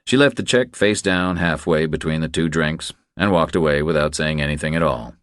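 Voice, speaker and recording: A man reading scripted audiobook narration in a measured storytelling manner. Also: extremely low pitch, fairly bright tone, no room sound, studio quality.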